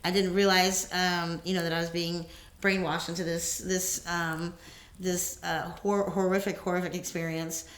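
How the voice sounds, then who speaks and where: A woman co-hosting a faith podcast, affirming her partner and then telling her own testimony in a steady, articulate way. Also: on the low side, moderate pace, roomy sound. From Houston, Texas. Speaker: podcast co-host. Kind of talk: casual conversation and personal testimony.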